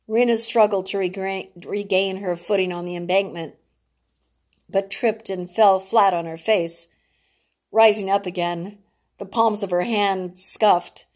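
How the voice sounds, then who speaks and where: Slow-reading woman, dramatic storyteller. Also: medium pitch, darkish tone. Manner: deliberate, dramatic, with stumbles over words. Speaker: author reading her own novel. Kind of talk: dramatic audiobook narration.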